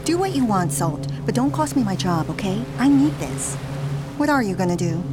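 Female, actor, sceptical wry worker in radio drama. Lively inflection, loud engine-like noise around her. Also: mid-range voice, moderate pace, close to an everyday voice. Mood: wary, firm. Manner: sardonic, incredulous. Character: sceptical, wry young working woman, wary of trouble. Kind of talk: audio drama acting.

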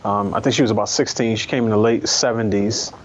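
A man born in Barbados and raised in New York, telling his family story in an interview in a thoughtful, measured way with frequent pauses. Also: lowish voice, fairly even intonation, a little hiss or hum. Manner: thoughtful, measured, many ums and restarts. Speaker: guest columnist. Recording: slightly dull lo-fi sound.

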